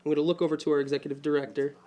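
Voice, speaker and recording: A man's voice: fairly high pitch, flat delivery, rapid-fire, mellow. A man who sits on a public access station's board, speaking earnestly at a community forum and often restarting his sentences. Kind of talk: panel discussion.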